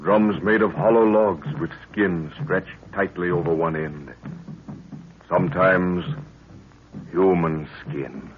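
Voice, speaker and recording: A man narrating a 1930s radio serial in a husky voice, with portentous, deliberate and dramatic phrasing. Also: low-pitched, slight background noise. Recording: tinny, narrow-band 1930s radio recording.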